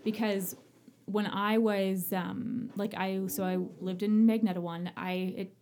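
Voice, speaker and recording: A woman's voice: medium pitch, fairly even intonation, a little slow, a little mellow, a little hiss or hum. A woman from small-town Ontario with a Canadian accent, hosting a casual conversational interview in an effusive, chatty way. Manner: effusive, chatty, laughs often. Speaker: interviewer, host.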